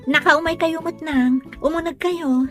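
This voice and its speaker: Audio drama: gentle young wife and daughter played by an actress, fairly high voice, respectful, soothing, northern Philippine accent. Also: female voice, steady tone, a little slow, faint music behind.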